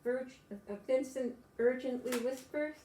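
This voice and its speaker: A woman's voice: high voice, fairly even intonation, a little slow, mellow, reverberant, slight background noise, rough recording. An older woman, a grandmother, reading a children's storybook aloud expressively and adding chatty exclamations for young listeners. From United States.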